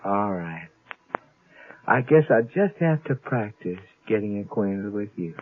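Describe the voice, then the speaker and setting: An actor playing an eager, bemused new father and husband in a 1950s radio drama, with a husky voice. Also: on the low side, sing-song, measured pace, a little hiss or hum. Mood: puzzled, eager. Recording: 1950s radio, narrow tinny band.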